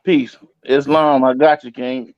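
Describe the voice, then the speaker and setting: A man's voice: mid-range voice, flat delivery, unhurried, no room sound, dull audio. A man in a casual, argumentative discussion, speaking colloquially and insistently and repeating himself.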